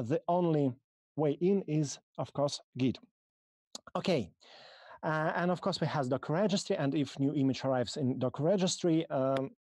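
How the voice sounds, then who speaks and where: A man from Russia with a Russian accent, speaking English as a second language and giving a halting technical conference talk over a video call, full of ums and restarts. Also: on the high side, a little mellow, dry recording. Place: small room, video call.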